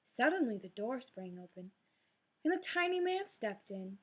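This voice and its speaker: A woman reads a fairy tale aloud as a storyteller, shaping each line with gentle drama. Her voice sits a touch higher than most women's. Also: very animated, moderate pace, slightly rough. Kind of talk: read-aloud storybook narration.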